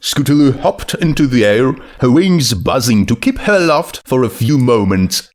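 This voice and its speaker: A man's voice: medium pitch, big pitch swings, moderate pace. A man reading a story aloud as narrator, in scripted narration. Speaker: narrator reading fan fiction.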